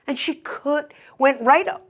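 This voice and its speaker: A woman's voice: high-pitched, a little slow. A woman telling a fairy tale to children in a dramatic style, with emphatic repetition. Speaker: storyteller.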